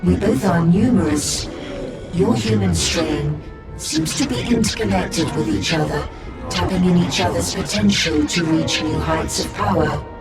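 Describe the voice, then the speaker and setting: A gravelly collective monster. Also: male voice, fairly high pitch, a little slow, loud music behind the voice. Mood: menacing calm. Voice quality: gravelly, speaks as "we". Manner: formal, courteous, menacing. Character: monstrous collective male abomination, coldly polite. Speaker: game villain. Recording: echoey, distant, loud score behind.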